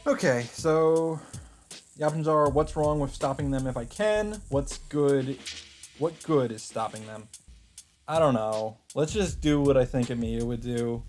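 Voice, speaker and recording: A man's voice: medium pitch, a little slow, a little mellow. A man hosting a let's play, reading game narration aloud with casual asides. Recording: game music faintly behind voice. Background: faint game music.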